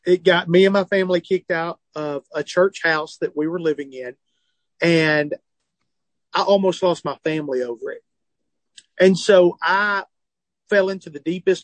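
Southern comedian in his forties, lightly high voice, dry close sound, animated and earnest storytelling. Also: no echo. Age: 40s. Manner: animated, earnest, self-deprecating humor. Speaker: comedian and author, guest. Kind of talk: phone or remote interview, candid storytelling. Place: tour bus.

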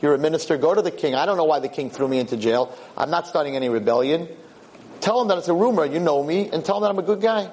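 A man's voice: a little high, expressive, quick pace, a little mellow, natural voice, low-quality recording. A man voicing a decent, stubborn father in a told story, speaking plainly and insistently. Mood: urgent appeal.

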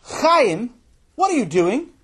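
A man plays a possessive little boy in a children's story, raised in pitch and husky. He is stubborn and indignant, whining his loud protests with big swings. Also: sing-song, a little slow, heavily acted.

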